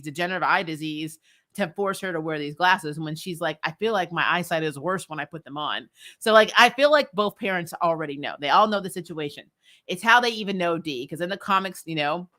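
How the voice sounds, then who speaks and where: A woman talks through an episode recap in a chatty, informal style. Her voice sits slightly low for a woman yet has a bright, forceful edge. She comes across as opinionated, dropping wry asides as she goes. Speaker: host of a TV recap show. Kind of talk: casual chatty episode review commentary.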